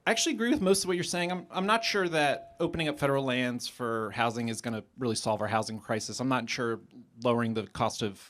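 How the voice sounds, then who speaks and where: A man's voice: mid-range voice, fast talker, fairly bright tone. An American man, a professor, putting a polite, discursive question at a live public debate. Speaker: sociology professor, audience questioner.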